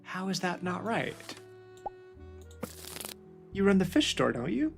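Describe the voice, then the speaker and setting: A man's voice: high voice, sing-song, takes it slowly, vocal fry, soft background music. A man playing a detective game, musing aloud and often trailing off mid-thought. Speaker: video game player. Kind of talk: gameplay commentary.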